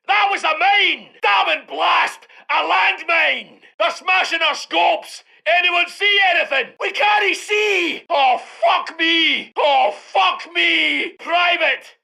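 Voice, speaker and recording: A brash Scottish heavy tank commander from a war game. His voice is pitched very high for a man, hard-edged and piercing, with a thick gravel in it. He barks salty, commanding orders to his crew with swagger. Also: takes it slowly, hardly any pauses, pushed and bright, exaggerated voice. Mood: alarmed, urgent. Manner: commanding, salty, colourful slang. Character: gruff, cocky British heavy tank commander barking orders. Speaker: video game voice actor. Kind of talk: shouted military unit voice lines.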